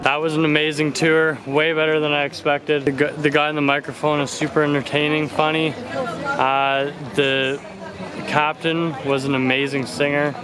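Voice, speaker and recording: A man's voice: a little high, flat delivery, normal speed, a crowd in the background, low-quality recording. A young man in a casual travel vlog, chatting upbeat to a camera held at arm's length while hiking outdoors. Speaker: travel vlogger. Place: outdoors on trails and coastal lookouts.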